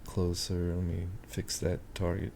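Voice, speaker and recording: A man with a very low, gravelly voice talks slowly through trading charts. He sounds hoarse and pauses often to think aloud. Faint background noise sits under the recording. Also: completely flat delivery, a little mellow. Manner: slow, thinking aloud with many ums. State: hoarse, clearing his throat. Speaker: market analyst. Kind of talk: screen-share chart walkthrough.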